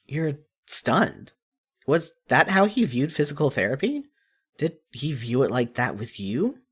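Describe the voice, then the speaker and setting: A man narrating a scripted story in the second person with an expressive storytelling delivery. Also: mid-range voice, a little slow, slightly rough.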